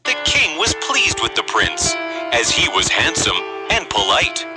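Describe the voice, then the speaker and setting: A man's voice: mid-range voice, huge pitch swings, measured pace, rough recording. A man narrating a scripted fairy tale as a storyteller, with music behind him.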